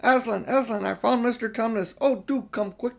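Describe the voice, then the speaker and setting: A man's voice: very high-pitched, normal speed, creaky, pitched above the performer's own voice. A man voicing a young girl for a storybook, eager, kind and polite in manner. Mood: joyful, breathless. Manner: excitable and polite.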